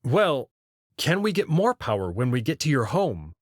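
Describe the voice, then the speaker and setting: A man's voice: medium pitch, big pitch swings, normal speed, no echo, studio quality. A man voicing a frustrated, incredulous young human abductee in a visual novel, questioning indignantly. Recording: clear music behind the voice.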